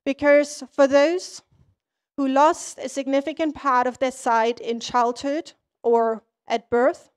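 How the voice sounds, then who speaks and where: A woman from East Germany, a native German speaker, giving a prepared conference talk as an academic, explaining in short, measured phrases. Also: sits high.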